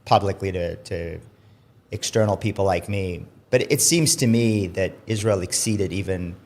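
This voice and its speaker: A man's voice: sits low, normal speed, darkish tone. A man hosting an interview, asking long questions with frequent repeated words. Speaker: podcast host, interviewer.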